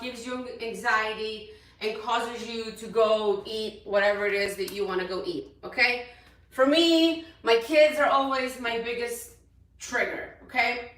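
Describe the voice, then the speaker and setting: A woman in her thirties with a loud voice, a fitness coach giving a chatty motivational talk to her followers from a hotel room and repeating her points for emphasis. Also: on the high side. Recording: very echoey room, voice from a distance.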